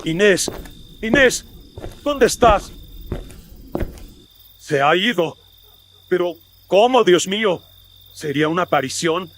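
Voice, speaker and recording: A man playing a desperate colonial gentleman father in a horror radio drama, theatrical and anguished. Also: high voice, lively intonation, slow pace, long pauses, a little hiss or hum, voice pushed up. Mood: anguished, despairing.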